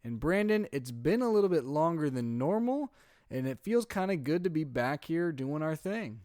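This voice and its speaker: A young dad co-hosting a casual podcast, his voice sitting a little high for a man. He teases his friend and laughs easily as he talks. The sound is dry and close, with no room around it. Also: very animated, normal speed, a little mellow, no room sound. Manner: laughs often, teasing. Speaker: podcast co-host. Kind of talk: casual chat.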